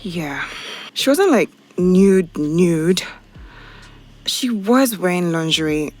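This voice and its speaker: A woman's voice: on the low side, animated, slow, darkish tone. An actress playing a troubled young girlfriend in an audio drama, with a conversational, sighing delivery. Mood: hurt, suspicious. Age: young adult. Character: troubled young woman, suspicious and hurt girlfriend. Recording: faint music behind the voice.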